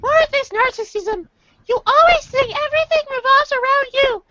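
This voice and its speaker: A woman's voice: very high-pitched, normal speed. A woman voicing an irate, condescending, foul-mouthed young male alien troll in an amateur reader's theater, ranting in exasperation. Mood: contemptuous. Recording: dull lo-fi home recording, faint noise.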